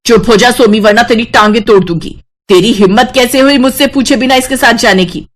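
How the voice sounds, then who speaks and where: A woman's voice: on the high side, brisk, a little hiss or hum, lightly acted. A woman voicing a bitter, domineering widowed mother-in-law in a cartoon story, taunting and threatening. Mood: furious. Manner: taunting, threatening, sharp-tongued. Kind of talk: Hindi animated moral-story voice acting.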